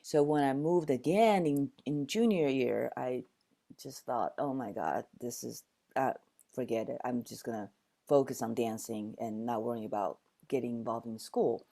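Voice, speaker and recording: Older woman from Taiwan, very low voice, reflective storyteller. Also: normal speed, gravelly, mellow. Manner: reflective storytelling with frequent restarts. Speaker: guest, dancer and actor. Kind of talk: conversational interview.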